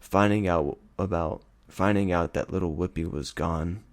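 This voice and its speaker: A man's voice: low-pitched, moderate pace, no echo. A man reading a story aloud as dramatic scripted narration. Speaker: audiobook reader.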